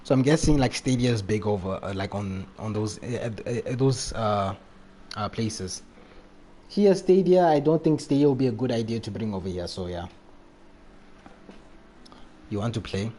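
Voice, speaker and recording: A man's voice: lowish voice, expressive, normal speed, long pauses, mellow. A man chatting casually and jokily on a game livestream, reacting in slangy, loose phrases. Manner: jokey, slangy, often chuckling. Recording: faint background noise with game sound.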